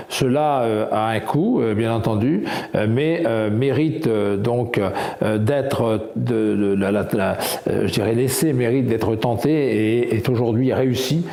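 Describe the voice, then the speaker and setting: A man speaking as a local official in a news interview, halting and full of fillers. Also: mid-range voice, a little mellow, reverberant. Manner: halting, heavy use of fillers.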